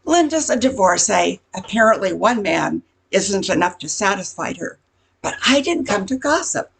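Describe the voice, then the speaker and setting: An older woman playing a nosy, gossiping neighborhood busybody in an amateur radio comedy, chatty and insinuating with a husky, creaky voice. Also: mid-range voice, big pitch swings, moderate pace, fairly bright tone, full character voice. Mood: confiding, insinuating.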